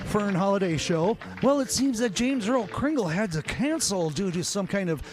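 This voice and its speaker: An actor playing a bumbling, distracted talk show host in a radio comedy, stammering and hesitant. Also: male voice, high voice, very animated, normal speed, music in the background. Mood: flustered, chatty. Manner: hesitant, stammering, fumbles names.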